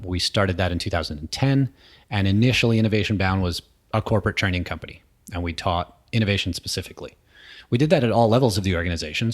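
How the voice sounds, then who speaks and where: A middle-aged man from New York, speaking in a low, deep voice. He explains his ideas thoughtfully as an interview guest, pausing with frequent ums. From New York, USA. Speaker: guest, innovation consultant. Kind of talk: conversational interview. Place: indoor room with a small audience.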